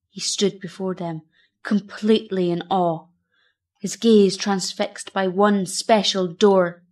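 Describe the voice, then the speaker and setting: Female narrator for a storybook poem: measured, unhurried, expressive and dramatic. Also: fairly high pitch.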